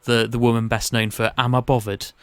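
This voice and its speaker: A man's voice: medium pitch, little pitch movement, a little fast, clean tone, no echo. A man giving his opinions in casual podcast chat, thinking aloud and rephrasing as he goes. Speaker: podcast panellist.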